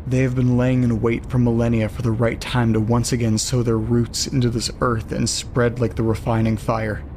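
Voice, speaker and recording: A man reading out an ominous doomsday zealot's letter in an audio drama. The delivery is measured and portentous, at a medium pitch, with some noise in the background. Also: dark tone, natural voice.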